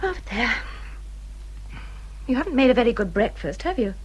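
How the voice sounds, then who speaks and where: An actress playing a peevish, self-pitying young married gentlewoman in a period drama, with an English accent and a fretful, self-important manner. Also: fairly high pitch, very animated, normal speed, breathy edge, lo-fi sound. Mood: fussy, insistent. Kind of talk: British period radio drama acting.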